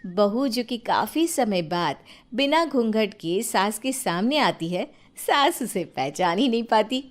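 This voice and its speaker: A woman's voice: mid-range voice, lively intonation, unhurried. A woman narrator reading a scripted family story.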